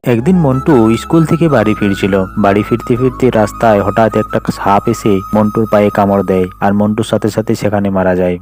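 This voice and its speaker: A man's voice: on the low side, fairly quick, hardly any pauses. A man narrating scripted fairytale stories for a children's cartoon. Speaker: storyteller narrator. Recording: loud background music. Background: music.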